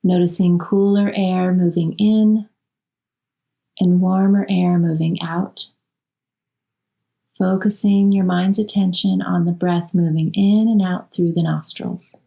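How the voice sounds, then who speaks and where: A woman leading a guided relaxation as a yoga nidra instructor, speaking soothingly in short, unhurried phrases with long pauses. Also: lowish voice, steady tone, creaky, warm tone.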